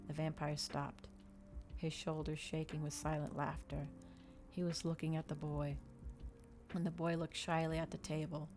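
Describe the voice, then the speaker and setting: Low, mellow-voiced woman reading ASMR. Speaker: streamer reading a novel aloud. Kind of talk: ASMR audiobook-style reading.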